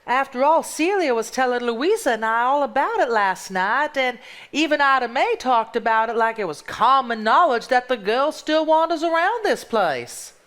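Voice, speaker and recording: A woman's voice: sits high, lively intonation, moderate pace, a bit bright. An actress playing a curious, open-minded woman in a live stage play. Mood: thoughtful.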